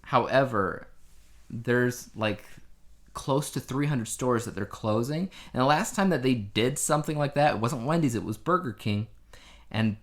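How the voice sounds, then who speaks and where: Male podcast host, average pitch, loose conversational news chat, wry and rambling. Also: unhurried.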